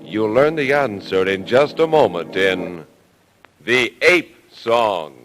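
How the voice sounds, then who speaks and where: Slow, portentous radio horror announcer, male. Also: fairly low pitch, expressive, a bit bright. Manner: theatrical, portentous. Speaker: announcer and narrator. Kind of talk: ominous 1940s radio horror narration. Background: music.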